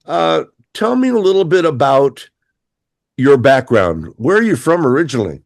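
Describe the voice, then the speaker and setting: A man's voice: a little high, big pitch swings, normal speed, gravelly, no echo, clean studio sound. A man hosting an interview show, upbeat and introducing his guest with frequent "uh" fillers. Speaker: show host.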